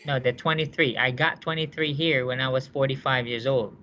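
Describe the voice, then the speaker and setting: A male nursing instructor lectures in a bright, forceful voice. He works through cases by asking the class questions and checking that they follow. The classroom recording sounds dull and lo-fi. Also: mid-range voice, steady tone, a little fast, a little hiss or hum.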